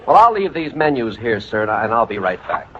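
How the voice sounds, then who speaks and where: A man's voice: medium pitch, big pitch swings, fast, close to an everyday voice. A man playing a smug, wry waiter. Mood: mocking. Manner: mocking and wry. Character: smug, wisecracking restaurant waiter. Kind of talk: 1940s radio comedy acting. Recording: dull old radio broadcast with studio audience and noise.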